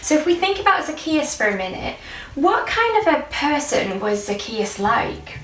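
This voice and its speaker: A woman's voice: high voice, sing-song, moderate pace, fairly bright tone, roomy sound, far from the mic. A woman presenting a children's Sunday school, asking questions and explaining in an encouraging way.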